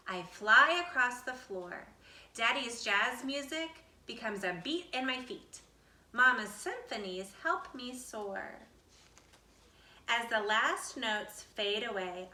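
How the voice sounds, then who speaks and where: A woman reading a children's picture book aloud expressively, with deliberate, dramatic phrasing. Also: on the high side, sharp tone. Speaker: storybook reader. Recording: roomy echo, distant from the mic.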